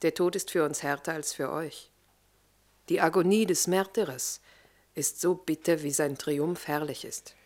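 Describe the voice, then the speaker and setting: A woman's voice: low voice, unhurried, darkish tone. Spirited, devout captive woman. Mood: earnest. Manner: earnest, questioning. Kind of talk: radio drama acting.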